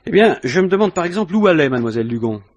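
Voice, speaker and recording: A coolly insistent police inspector in an old French radio mystery. His voice is husky and moves up and down a great deal as he probes with dry, suspicious understatement. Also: fairly high pitch, sing-song, very fast, no echo, dull audio. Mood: methodical, suspicious.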